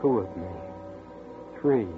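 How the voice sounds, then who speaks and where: A man's voice: fairly low pitch, animated, slow pace. An actor playing a nervous man who narrates, in tense, uneasy 1940s radio acting. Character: nervous young man haunted by déjà vu. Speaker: narrator and lead character. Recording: tinny old radio broadcast with music.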